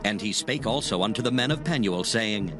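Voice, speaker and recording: A man narrating a dramatized scripture recording in a solemn, measured storytelling manner. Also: lowish voice, expressive, music in the background.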